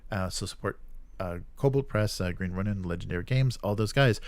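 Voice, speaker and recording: Low-voiced man hosting a casual show. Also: brisk, a little mellow, dry recording. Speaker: show host. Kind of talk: casual news commentary, reading out announcements.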